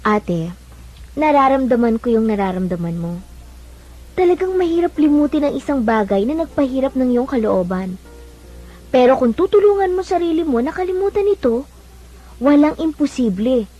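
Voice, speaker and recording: A woman's voice: high voice, sing-song, moderate pace, long pauses, dark tone, lo-fi sound. A woman playing an earnest, caring younger sister in a Filipino radio drama, pleading and encouraging.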